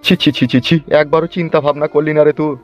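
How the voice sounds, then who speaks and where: A man's voice: fairly high pitch, level tone, fast, close to an everyday voice. A man voicing an angry, shamed middle-aged village father in a cartoon, coarse and scolding. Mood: ashamed. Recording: dull lo-fi track, music behind.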